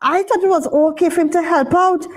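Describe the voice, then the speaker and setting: A woman voicing Sheldon, a curious, soft-hearted Trinidadian schoolboy in a puppet show, full of questions and wows. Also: very high-pitched, brisk. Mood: worried. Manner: wide-eyed questions, lots of wows.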